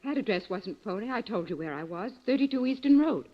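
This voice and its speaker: Lady playing resentful cornered woman in 40s radio crime show, quick talker, lo-fi. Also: mid-range voice, expressive, close to an everyday voice. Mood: bitter, defensive. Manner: resentful, insistent. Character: bitter, defensive jailed woman protecting her brother. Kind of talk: 1940s radio acting. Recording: dull lo-fi old radio recording.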